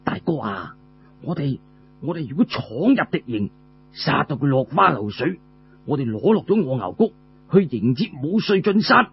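A man's voice: fairly high pitch, sing-song, moderate pace, vocal fry, muffled recording, soft background music, pitched below the performer's own voice. A man voicing an eager, hot-headed young warrior who stammers and wheedles as a pleading younger brother. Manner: stammering, repeating words, wheedling.

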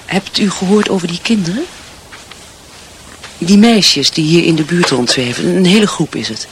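An earnest provincial wife and mother in a radio play. The voice is fairly high, sincere and hesitant, searching for words over faint background ambience. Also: normal speed, a bit bright, a little hiss or hum. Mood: earnest, urging. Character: earnest, dutiful wife and mother yearning for purpose. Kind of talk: radio drama acting.

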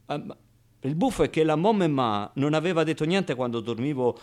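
A man's voice: a little high, expressive, a little fast, darkish tone, close to an everyday voice. A man playing a naive, streetwise ten-year-old orphan boy in a colloquial theatrical radio monologue. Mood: wry, resigned. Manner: colloquial, ungrammatical, with interjections and rhetorical asides.

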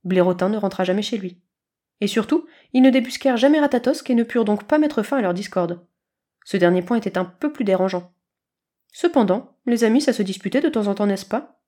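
A woman reading scripted fiction aloud as an expressive storyteller, at a medium pitch. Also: brisk. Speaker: narrator, podfic reader.

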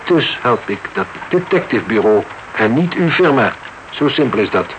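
A man's voice: mid-range voice, big pitch swings, normal speed, lo-fi sound. Blunt, haughty older man, noisy recording. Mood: defiant, cynical. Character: cynical, blunt older businessman, an irritated husband. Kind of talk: radio drama acting. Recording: noisy radio drama recording.

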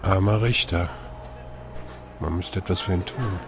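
Low-voiced, reflective observer. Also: male voice, measured pace, reverberant. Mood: reflective concern. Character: calm, compassionate, questioning male observer. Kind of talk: radio play acting. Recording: clear outdoor background noise.

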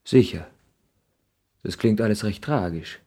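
An actor playing an ambitious, hot-headed young son, idealistic and argumentative, in a 1960s German radio drama. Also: male voice, fairly low pitch, unhurried, dark tone, breathy edge. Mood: earnest. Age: young adult. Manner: impassioned, argumentative.

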